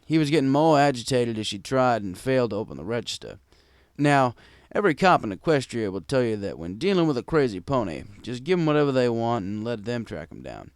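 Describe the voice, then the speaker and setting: A man's voice: medium pitch, sing-song, brisk, few pauses, no echo, studio quality. A man reading a first-person story in a wry, conversational storytelling manner. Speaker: fan fiction reader.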